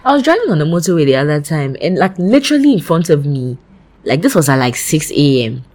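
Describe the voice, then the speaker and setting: A young woman with a Ghanaian English accent chats casually as a podcast co-host. Her pitch swings widely as she tells a story, and she leans on "like" between her thoughts. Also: quick pace. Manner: chatty storyteller, many "like" fillers, lively swings in pitch. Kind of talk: casual chat.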